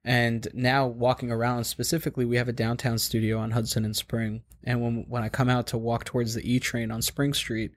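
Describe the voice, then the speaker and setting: A man's voice: medium pitch, little pitch movement, fairly quick, few pauses. A man from Long Island and Queens hosting a casual group conversation, chiming in with follow-up questions. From Long Island and Queens, New York. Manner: interjecting, asks follow-up questions.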